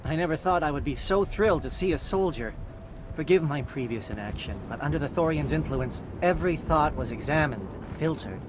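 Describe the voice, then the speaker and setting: A man's voice: on the high side, lively intonation, brisk, lightly acted. An actor voicing a polite male colony merchant in a video game, with courteous, formal speech. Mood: relieved, apologetic. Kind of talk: video game dialogue acting. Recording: game audio with outdoor ambient noise.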